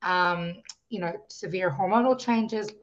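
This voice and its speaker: A woman's voice: on the low side, measured pace, dull audio. A woman with a New Zealand accent telling her own story as an interview guest, explaining things articulately. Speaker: podcast guest, musician and youth coach. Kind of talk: conversational personal storytelling in an interview.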